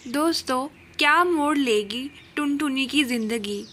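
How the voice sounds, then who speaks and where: A woman narrator with a high voice tells a children's tale from a script. She takes it slowly and in a storybook style. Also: fairly bright tone, a little hiss or hum.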